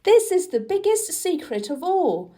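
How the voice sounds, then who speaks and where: An imperious young storybook boy, played by a woman, earnest and determined. The voice sits very high and swings widely, declaring each line slowly and with emphasis. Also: big pitch swings, dark tone, heavily acted. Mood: proud, resolute.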